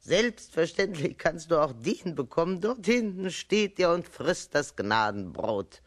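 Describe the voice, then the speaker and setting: A man playing a gaunt, taunting old witch woman in a children's radio play, with a creaky, sharp voice. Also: on the high side, very animated, unhurried. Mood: sly. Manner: taunting, commanding.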